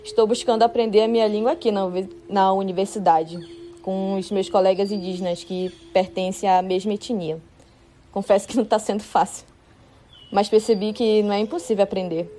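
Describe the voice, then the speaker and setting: Young woman with a mellow, darker voice. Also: medium pitch, fairly even intonation, a little fast, a little hiss or hum. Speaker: university student. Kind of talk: read personal statement.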